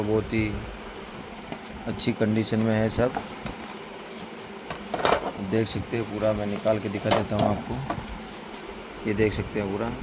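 A man with a fairly low voice narrates a product showcase in a very flat tone. His patter is plain and repetitive, like a casual seller's. It is a phone recording made indoors, with clear background noise.

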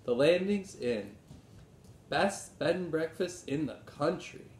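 Man as a sensible older brother, storybook, measured, informative, roomy sound, faint noise. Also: lively intonation. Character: helpful, sensible older boy reading news. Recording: roomy echo, low rumbling noise.

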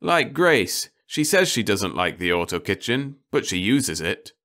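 A man's voice: lowish voice, sing-song, a little slow, a bit bright, voice pushed up, heavily acted. A man voicing a weary, impatient husband in an audiobook story, with an anxious, dismissive, grumbling manner. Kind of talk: audiobook character dialogue.

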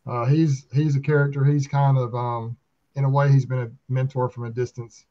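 A man's voice: medium pitch, level tone, normal speed. A man in his fifties with a Southern American accent, chatting casually and telling folksy stories on a video call. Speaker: beekeeper and video channel host. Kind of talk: casual chat. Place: small room at home, video call. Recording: slightly dull video-call audio.

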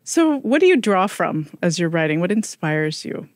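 A podcast host introducing a guest and asking gentle questions, answering with soft affirming murmurs. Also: medium pitch, sing-song, moderate pace, no echo. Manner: gentle and affirming, many short murmured replies. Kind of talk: podcast interview.

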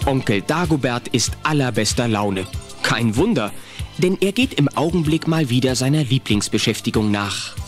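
A man reads scripted narration for a children's audio adventure. His mid-pitched voice moves in lively storytelling swings, with faint music behind. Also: moderate pace. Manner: animated storytelling.

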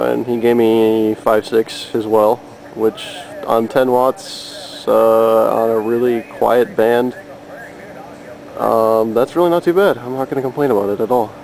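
A man's voice: lowish voice, creaky, darkish tone. A man giving a casual, unscripted demonstration of his hobby gear outdoors, hesitant and thinking aloud as he films with a handheld camera. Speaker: amateur radio hobbyist filming his gear. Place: outdoors in a yard. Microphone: handheld camera microphone. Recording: noisy handheld camera with background hum.

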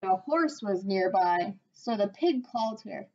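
Slow, gentle woman reading a storybook. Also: medium pitch. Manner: slow, expressive, gentle read-aloud voice. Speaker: teacher reading aloud. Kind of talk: storybook read-aloud on webcam. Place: small room at home. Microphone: webcam at desk. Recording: dull lo-fi webcam audio.

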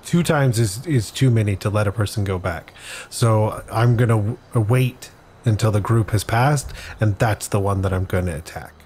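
A man's voice: fairly low pitch, normal speed, darkish tone, slight background noise. A man playing a tabletop role-playing game, thinking aloud through his moves. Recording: light music under the voice. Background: background music.

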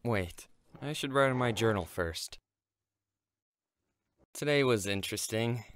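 A man's voice: mid-range voice, lively intonation, a little slow, a bit bright, slight background noise. A man acting a reserved teenage schoolboy in an audio drama, subdued and reflective. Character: reserved teenage schoolboy who writes stories and keeps his distance.

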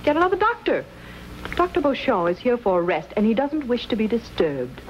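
Haughty young lady, high voice. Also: very animated, a little fast. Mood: haughty, annoyed. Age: young adult. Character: haughty, well-bred young lady landowner. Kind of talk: 1950s television western acting. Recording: muffled, hissy old TV soundtrack.

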